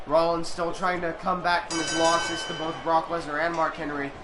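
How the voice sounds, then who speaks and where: A young man doing play-by-play commentary on a video game, excitable and bursting into shouts on big moves, his voice going hoarse and cracking into coughs. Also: a little high, moderate pace, background noise. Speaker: host commentating a simulated game.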